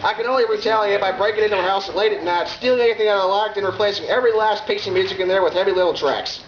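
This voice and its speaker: A man's voice: high voice, very fast. A young man with a Texan accent giving a comedic prepared speech to a club audience in a large hall, with a drawling, mock-earnest delivery. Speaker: club speaker. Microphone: camera from the room. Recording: roomy, muffled, noisy hall camera recording.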